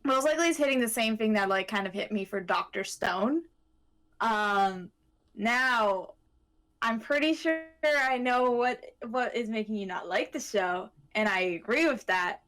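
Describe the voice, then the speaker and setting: A woman co-hosting a podcast, explaining her thoughts haltingly in casual discussion. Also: a little high, expressive, a bit bright, low-quality recording. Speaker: podcast co-host.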